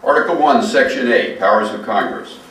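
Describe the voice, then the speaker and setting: A man reads a formal document aloud in a mid-pitched voice, set back from the mic in a heavily echoing room. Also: animated, normal speed, a bit bright. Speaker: guest reader. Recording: very echoey, distant, faint noise.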